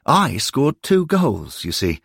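A man voicing a genteel older gentleman who frets and fusses in a husky, creaky voice. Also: medium pitch, huge pitch swings, takes it slowly, dry recording, clean studio sound, pitched above the performer's own voice, exaggerated voice. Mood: fretful. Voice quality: husky, creaky, prim and fretful.

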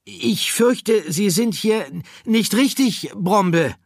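A man's voice: high voice, lively intonation, drawn-out words, creaky, breathy edge, dry recording, clean studio sound, pitched above the performer's own voice, exaggerated voice. A man voicing a small, timid young female mouse for a children's audiobook, speaking in a courteous, careful manner. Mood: gently correcting.

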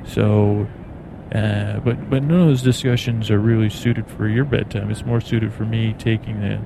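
Clear cabin noise surrounds an American man with a low, gravelly voice. He rambles soothingly as a bedtime podcast host, halting and meandering as he goes. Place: aboard an airplane in flight. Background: steady plane noise.

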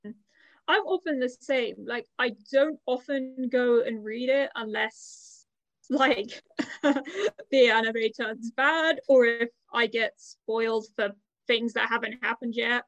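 A young woman talks casually and a bit ramblingly as a podcast co-host. Her voice is highish with plenty of vocal fry. The recording is dry and a little dull. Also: takes it slowly, a bit bright, no echo. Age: young adult. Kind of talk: casual chat. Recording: slightly dull, lo-fi microphone sound.

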